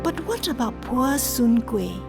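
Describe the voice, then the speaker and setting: A woman's voice: on the high side, sing-song, measured pace, vocal fry, full character voice. A woman playing the amused, teasing narrator of a radio serial. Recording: loud music under voice.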